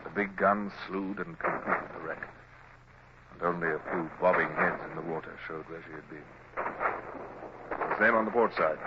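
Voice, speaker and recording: An actor with a British accent playing a cool, commanding Royal Navy captain, measured and formal, in a vintage radio drama style. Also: male voice, low-pitched, fairly even intonation. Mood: grave, narrating. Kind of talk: vintage radio drama acting, first-person narration and orders. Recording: dull, noisy old radio drama recording.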